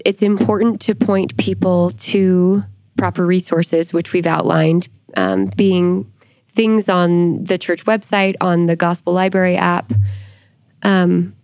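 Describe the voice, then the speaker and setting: A woman speaking as a church historian guest on a podcast, explaining things in a measured, deliberate manner. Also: on the low side, a little mellow, dry recording.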